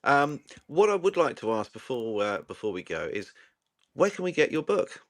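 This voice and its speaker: Man, show host, animated pitch, polite, self-deprecating, dry close sound. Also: normal speed, no echo. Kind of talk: livestream conversation.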